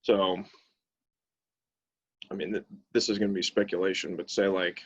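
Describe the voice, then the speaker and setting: A man's voice: low voice, little pitch movement, moderate pace, a bit bright, breathy edge. A man in a casual professional discussion on a conference call, tentatively thinking aloud. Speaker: call participant, fitness or rehab practitioner. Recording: dull, lo-fi call audio.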